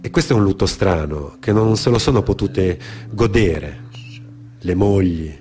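A man performing a theatrical narrative monologue for radio, in a dramatic, deliberate manner with repetitions and weighted pauses. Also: deep voice, darkish tone. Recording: music behind the voice. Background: music.

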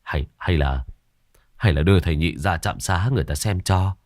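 A man's voice: low voice, moderate pace, a little mellow, dry recording, studio quality, pitched below the performer's own voice, close to an everyday voice. A man voicing a worried villager who stammers hesitantly. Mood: anxious. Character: worried male villager, nervous.

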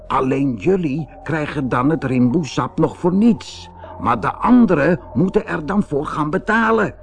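A man acts out a sly villain plotting to take over a jungle kingdom. His voice rises high above a narrator's register, conspiratorial and commanding, as told in a children's storybook. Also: unhurried, gravelly, faint music behind. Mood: scheming.

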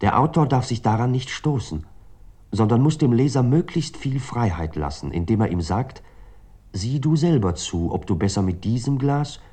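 An actor playing a reflective male writer, speaking a formal, measured literary monologue for a radio drama. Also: mid-range voice, very animated, dark tone, breathy edge. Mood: contemplative. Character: reflective male writer musing on his work. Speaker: actor reading the novelist-narrator's words.

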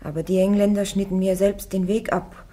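An actress playing a devout young woman warrior on trial, answering her judges firmly and calmly in a radio drama. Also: mid-range voice, fairly quick, close to an everyday voice. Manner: firm, calm, defiant answers.

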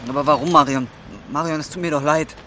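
An actor in a radio drama playing a desperate, embittered young roofer, intense and volatile. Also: male voice, a little high, steady tone, fast, sharp tone, slight background noise. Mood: pleading, hurt. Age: young adult.

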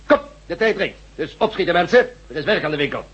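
A man playing an impatient, sardonic resistance leader in a Dutch radio drama, brisk and authoritative. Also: fairly high pitch, expressive, fairly bright tone, husky. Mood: brisk, commanding. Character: commanding, impatient male leader of a resistance group. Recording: dull, muffled radio play recording.

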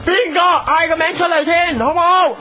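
Very high-pitched gushing TV music host. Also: male voice, steady tone. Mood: excitable. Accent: Hong Kong Cantonese. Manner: gushing, hyped announcing with drawn-out shouts. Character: excitable TV music show host pair, gushing and campy. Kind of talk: parody pop music show presenting. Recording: music playing behind the voice.

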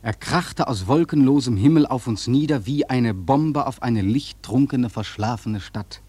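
A grave sailor narrates a radio drama in a very husky voice. His delivery is solemn, measured and literary, in a very dry, close sound. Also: medium pitch, warm tone, dry recording. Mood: grave, ominous. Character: male sailor recounting a terrible storm, grave, awed.

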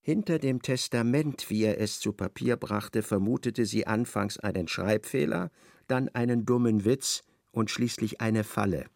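Ein Mann als Erzähler eines literarischen Hörspiels. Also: male voice, fairly low pitch, measured pace, vocal fry, darkish tone, no echo. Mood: measured narration. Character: expressive male narrator of a literary radio play. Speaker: narrator. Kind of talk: literary radio play narration.

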